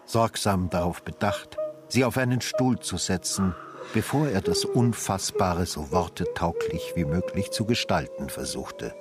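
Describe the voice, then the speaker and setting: A man narrating a literary radio drama in a sombre, measured and grave style. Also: lowish voice, wildly swooping pitch, over loud music.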